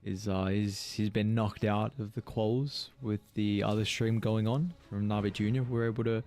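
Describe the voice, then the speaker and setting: A man's voice: on the low side, steady tone, moderate pace, darkish tone. A man in his mid-twenties casting an esports match, playful and slangy, laughing easily as he talks. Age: 20s. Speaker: esports caster. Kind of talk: casual esports commentary.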